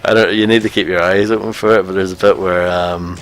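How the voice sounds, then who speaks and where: A man's voice: deep voice, steady tone, fairly quick, a little hiss or hum. A man chatting casually as he co-hosts a video game stream, with a wry, bantering manner. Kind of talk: casual chat while playing a video game. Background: video game music.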